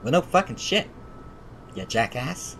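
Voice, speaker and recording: A man hosting a gaming video, reading visual novel narration aloud haltingly and repeating phrases. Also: mid-range voice, sing-song, fairly bright tone, slight background noise.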